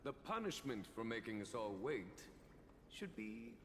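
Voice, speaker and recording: An actor playing a genial, courteous general in a game, speaking graciously and without hurry. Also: male voice, mid-range voice, very animated, gravelly, a little hiss or hum. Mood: genial, teasing. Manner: unhurried, gracious. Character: genial, courteous male general among old friends. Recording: long echo, faint music.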